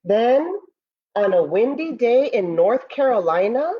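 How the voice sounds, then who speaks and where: American school teacher lady reading a kids book, highish expressive voice, kinda dull lo-fi audio. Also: measured pace, slightly rough. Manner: expressive, addresses children directly. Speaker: elementary school educator. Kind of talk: children's read-aloud with asides to pupils.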